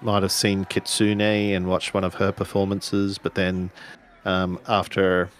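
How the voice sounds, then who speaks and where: A man with a fairly low voice plays a tabletop game. He improvises his characters' actions casually, pausing and restarting as he tentatively works them out. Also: completely flat delivery. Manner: halting, tentative. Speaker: roleplaying game player.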